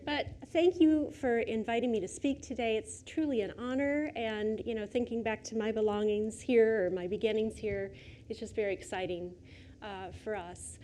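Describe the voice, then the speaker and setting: Soft-spoken middle-aged woman lecturing. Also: on the high side, normal speed, slight background noise. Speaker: guest lecturer. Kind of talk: public lecture. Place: lecture hall. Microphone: lecture microphone.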